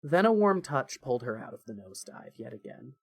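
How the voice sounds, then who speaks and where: A man narrates a scripted story in a mid-pitched voice. The recording is close and dry, with very little room sound. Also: big pitch swings, a little fast, dry recording.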